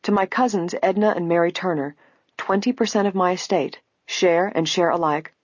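A woman voicing a calm, courteous male lawyer in a story reading, even and formal. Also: lowish voice, normal speed, dry recording, dull audio, pitched above the performer's own voice, natural voice. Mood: measured reading.

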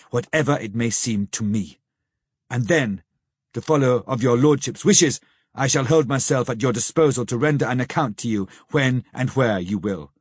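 A man voicing an old male professor who speaks gravely, sternly and with pity, in slow, solemn, pleading phrases for an audiobook. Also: mid-range voice, dry recording, studio quality, natural voice. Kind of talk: audiobook character reading.

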